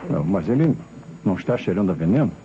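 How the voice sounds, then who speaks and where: Deep, authoritative police commissioner. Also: male voice, sing-song, dark tone, a little hiss or hum. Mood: businesslike. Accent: Brazilian. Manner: deliberate, authoritative, questioning. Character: brisk, probing police commissioner, a grown man. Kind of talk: old radio crime drama acting. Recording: muffled vintage radio recording, music behind.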